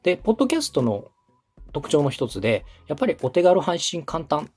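Male podcast coach: quick, lively pitch swings, slightly husky, polite explainer, light music behind. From Osaka, Japan. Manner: explanatory, polite, organized step-by-step advice. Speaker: podcast host and radio director advising a beginner.

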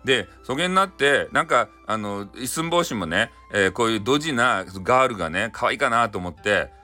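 A man's voice: mid-range voice, lively intonation, fairly quick, bright, forceful tone, soft background music. A man with a Hakata accent playfully retelling a folktale in dialect, improvising as he goes and throwing in sound effects. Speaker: storyteller.

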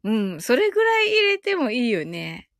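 A woman's voice: sits high, huge pitch swings, moderate pace, a bit bright, no echo, clean studio sound. A woman hosting a casual live audio stream, chatting politely with her listeners and often breaking into giggles.

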